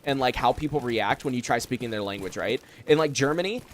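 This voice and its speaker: A man's voice: mid-range voice, brisk, a little hiss or hum. Rambling young man chatting while gaming. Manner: rambling, filler-heavy, chatty. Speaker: streamer. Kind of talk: casual live gameplay chat. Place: room at home.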